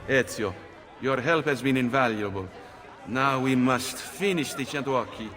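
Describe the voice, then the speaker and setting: An actor playing a shrewd, resolute leader of a thieves' guild in a video game, with an Italian accent. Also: male voice, mid-range voice, moderate pace, long reverb tail, a crowd in the background, background noise, natural voice. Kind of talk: dramatic video game cutscene acting.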